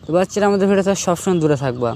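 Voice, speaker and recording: A young man hosts a cartoon channel. His voice is high and he talks quickly straight to viewers, with faint noise behind him. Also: lively intonation, a little mellow. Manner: addresses viewers directly. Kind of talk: scripted intro and outro.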